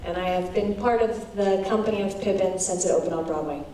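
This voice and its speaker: A woman's voice: mid-range voice, fairly quick, far from the mic. A woman with an American accent casually introducing herself as a stage actress. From Southern California, United States. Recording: very echoey hall, loud noise.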